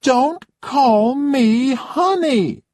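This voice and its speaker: A reader putting on a shrill, demanding woman. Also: animated, drawn-out words, a little mellow, no room sound, studio quality, exaggerated voice. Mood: fed up. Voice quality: shrill, complaining. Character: spoiled shrill American woman, demanding and cross.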